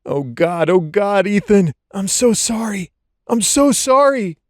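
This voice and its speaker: A man's voice: high voice, lively intonation, slow pace, no echo, studio quality, pitched above the performer's own voice. A man voicing a terrified, guilt-ridden young man fleeing for his life, pleading frantically in an audiobook thriller. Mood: anguished, desperate. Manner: frantic, pleading, urgent repeated exclamations. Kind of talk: audiobook thriller character acting.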